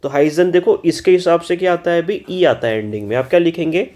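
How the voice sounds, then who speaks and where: A man's voice: a little high, darkish tone. A man teaching German in Hindi, going through an exam paper and scolding students for common mistakes. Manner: spells out German words slowly. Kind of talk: exam-solution lesson in Hindi.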